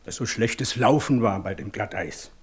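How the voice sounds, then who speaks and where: An actor playing a teasing, rough country horse dealer in a German radio play, telling things in folksy Silesian dialect. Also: male voice, on the low side. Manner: folksy, drawn-out storytelling.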